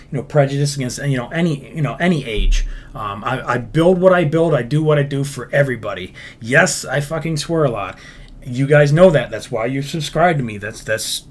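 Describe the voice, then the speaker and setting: A man hosting a casual livestream, reading viewer comments and answering them bluntly, swearing freely and rambling through lots of fillers and restarts. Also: medium pitch. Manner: profane, blunt. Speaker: livestream host, game builder.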